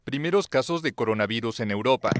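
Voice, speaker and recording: A man reading a news report in a steady, formal manner. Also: mid-range voice, fast talker, slight background noise. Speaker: news reader.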